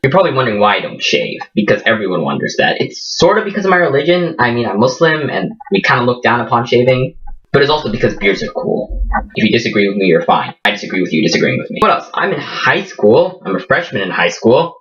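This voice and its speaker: A man's voice: mid-range voice, big pitch swings, quick pace, fairly bright tone, distant mic, dull audio. A fifteen-year-old boy with a Midwestern American accent and a slight Indian lilt, chatting casually and poking fun at himself in a vlog recorded in a small room. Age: teens. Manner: chatty, self-mocking, jokey asides. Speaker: vlogger.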